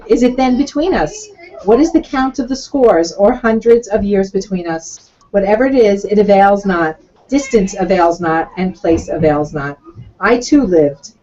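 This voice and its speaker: A woman from Brooklyn, a teacher, chats agreeably and reads poetry at an even pace. Her voice is lowish and mellow, carried over a dull, lo-fi video call. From Brooklyn, New York. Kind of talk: casual chat and poetry reading.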